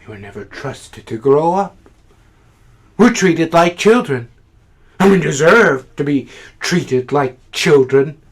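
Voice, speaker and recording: A man performing a theatrical monologue as a fervent, awkward, idealistic literary critic, halting at times and then declamatory. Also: on the high side, expressive, slightly rough, heavily acted. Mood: indignant.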